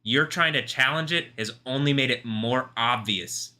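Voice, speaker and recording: Bright-voiced intense man livestreaming. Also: medium pitch, normal speed. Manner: intense, confrontational then soft-spoken and rambling; calls himself soft-spoken. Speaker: livestream host. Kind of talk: rambling live stream monologue.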